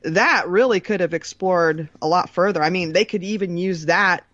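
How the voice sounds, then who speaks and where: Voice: medium pitch, normal speed, a bit bright, no room sound. Dry, dull-sounding laughing podcast co-host. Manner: laughs often, agreeable. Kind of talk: casual chat.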